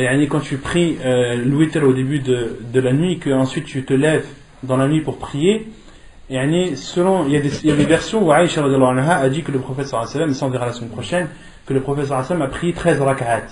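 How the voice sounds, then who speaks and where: A man's voice: mid-range voice, quick pace, a little mellow. A man giving an interactive religious lesson, posing questions in a didactic way and repeating key phrases for emphasis. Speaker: religious teacher. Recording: echoey room.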